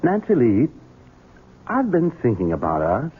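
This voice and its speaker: A sweet-talking schemer. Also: male voice, medium pitch, wildly swooping pitch, measured pace, husky, heavily acted. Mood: wheedling. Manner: honeyed, wheedling, turns commanding. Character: scheming Southern gentleman, smooth and sinister. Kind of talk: 1970s radio drama acting. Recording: lo-fi phone-like old radio broadcast.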